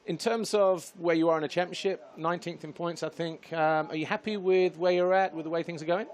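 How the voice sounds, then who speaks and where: A man's voice: on the high side, fairly even intonation, fairly quick. A man hosting a TV studio show, upbeat and chatty, laughing easily as he runs the show. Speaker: TV show host.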